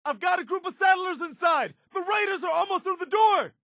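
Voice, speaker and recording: An actor playing an earnest, dutiful militia soldier in a post-apocalyptic video game, speaking in a plain, folksy way. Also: male voice, extremely high pitch, expressive, brisk, vocal fry, sharp tone, no echo, shouting, studio quality, exaggerated voice. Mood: alarmed shouting. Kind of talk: video game companion voice lines.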